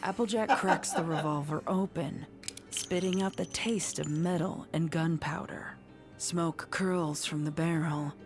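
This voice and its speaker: A woman reading a scripted horror story as narrator, measured and suspenseful in her dramatic delivery. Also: deep voice, over music.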